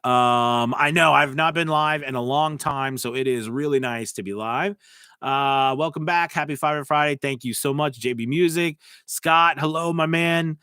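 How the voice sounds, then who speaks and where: A man's voice: on the high side, normal speed, bright, forceful tone, clean tone, no echo, clean studio sound. Chatty American man hosting a live stream. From United States. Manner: loose and talkative, many restarts and fillers, reads chat aloud. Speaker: host of a live voice-over business stream. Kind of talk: live-stream coaching and chat.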